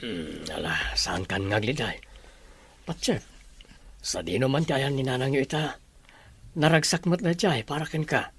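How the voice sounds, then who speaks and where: A man playing a proud, gentle, middle-aged father in an Ilocano radio drama, speaking affectionately in a husky voice. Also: mid-range voice, lively intonation, moderate pace, pauses often, full character voice. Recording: music bed under the dialogue.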